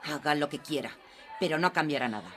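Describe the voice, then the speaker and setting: Audio drama role: cold, unyielding asylum nurse played by a woman, lowish authoritative voice over clear music. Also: lively intonation, fairly quick. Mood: cold, firm. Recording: prominent music under the voice.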